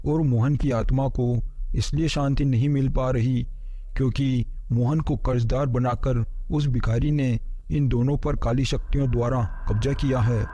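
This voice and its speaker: A man's voice: medium pitch, fairly even intonation, a little slow, mellow, lightly acted. Man playing a grave, authoritative sage. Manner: grave, instructing. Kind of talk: Hindi horror storytelling.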